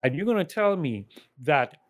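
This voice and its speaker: A man's voice: fairly high pitch, expressive, normal speed, dry recording. Emphatic man ranting, close dry sound. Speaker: podcast host giving a ranked list. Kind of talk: casual opinionated panel chat.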